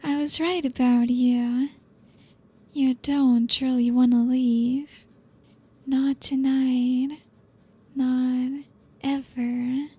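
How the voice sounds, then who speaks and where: A young woman voicing a possessive, ancient female vampire in an ASMR roleplay, breathy and whispery, teasing slowly with soft laughs. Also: high-pitched, heavily acted.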